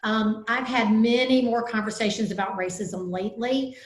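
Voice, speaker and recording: A woman's voice: on the high side, fairly even intonation, normal speed. Outspoken southern woman hosting, sure of herself, roomy echo. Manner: bold, outspoken, self-assured. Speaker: host. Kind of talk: casual conversation.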